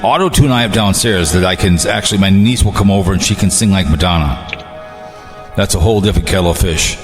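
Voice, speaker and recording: Rambling low-voiced man chatting. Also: fast talker, big-room sound, soft background music. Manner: rambling, repetitive, stammering over phrases. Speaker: online show host and comic voice performer. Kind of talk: casual group chat.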